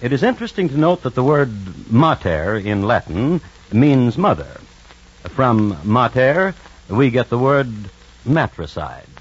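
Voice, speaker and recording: A man playing a wry, droll narrator of historical crime tales in old-time radio style, deadpan and measured. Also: medium pitch, slightly rough. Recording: dull old radio recording, music underneath.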